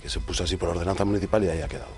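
A man explains the running of a public gardens project on the radio. His voice is very deep and he talks quickly. His explanations are brisk and matter-of-fact. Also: fairly even intonation, darkish tone, soft background music. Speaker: manager of the city gardens. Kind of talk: radio interview guest.

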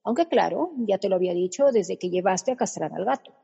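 A snarky no-nonsense therapist pal, kinda breathy, long reverb on it. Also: female voice, medium pitch, lively intonation, brisk, muffled recording, exaggerated voice. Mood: lecturing. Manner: sharp-tongued and sarcastic. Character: blunt, sarcastic woman therapist friend. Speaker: actress. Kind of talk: radio comedy acting.

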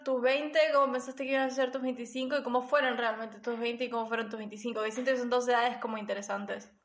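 A woman's voice: a little high, steady tone, fast talker, reverberant. A woman in her twenties with a Rioplatense Argentine accent, chatting casually as a podcast co-host, laughing easily and filling her talk with fillers. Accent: Rioplatense Spanish. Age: 20s. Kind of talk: casual chat between friends.

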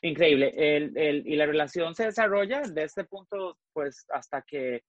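Frank, expressive man in his forties. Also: on the high side, measured pace, muffled recording. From Costa Rica. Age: 40s. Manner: expressive, frank, trails off and restarts often. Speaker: guest. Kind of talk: emotional personal storytelling.

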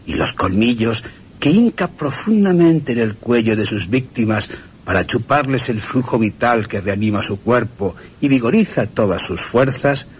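Animated, grim male vampire scholar. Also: fairly low pitch, slight background noise. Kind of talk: dramatic literary reading on radio.

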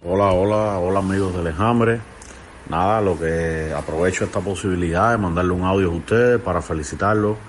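A young man from Santiago de Cuba with an eastern Cuban accent sends a voice message. His voice is on the low side and halting, with faint noise in the recording. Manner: halting, repeating words. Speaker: listener sending an audio message.

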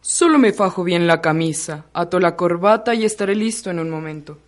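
A woman's voice: fairly low pitch, animated, a little fast, natural voice. Woman playing a formal, courteous young son. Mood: eager, courteous. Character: polite young son of a wealthy family. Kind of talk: radio soap opera acting.

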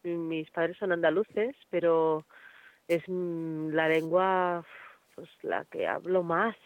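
A woman talks as a guest, thoughtful and hesitant, pausing often as she picks her words. Her voice comes through a narrow, phone-like line with no room sound at all. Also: lowish voice, dry recording. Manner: thoughtful, hesitant, many pauses and fillers. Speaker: singer, guest. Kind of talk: conversational interview answers. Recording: tinny dry phone-like line.

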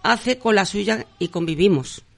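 A woman's voice: medium pitch, measured pace, vocal fry, fairly bright tone. Older woman, radio host, hesitant, lots of fillers, studio. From Andalusia, Spain. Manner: hesitant, many fillers and restarts. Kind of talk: radio interview.

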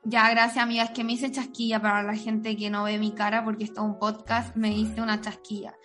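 A woman's voice: fairly high pitch, level tone, brisk, fairly bright tone, soft background music. A young adult woman with a Chilean accent hosting a casual podcast chat among friends, informal and slangy, swearing freely. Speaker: podcast host.

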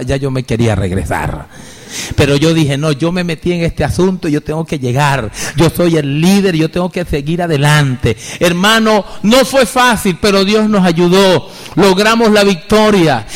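A man's voice: sits high, in a large hall. A middle-aged man from Venezuela, a pastor preaching with fervor and personal testimony, calling his listeners brother again and again. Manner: fervent, repeatedly addresses listeners as brother.

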